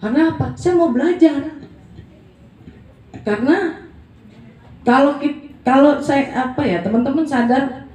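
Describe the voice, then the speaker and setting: A woman's voice: high-pitched, a little slow, pauses often, a little mellow, roomy sound, distant mic, low-quality recording. A woman in her forties giving a blunt, emphatic motivational talk in a casual, colloquial way. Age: 40s. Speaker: network marketing presenter.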